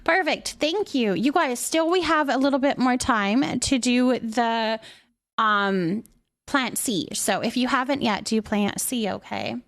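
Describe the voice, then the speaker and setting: Female livestreamer, Canadian accent, higher-pitched, unhurried delivery, effusive and affectionate in casual chat with viewers. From British Columbia, Canada. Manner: effusive, affectionate, repeating thanks and words for emphasis.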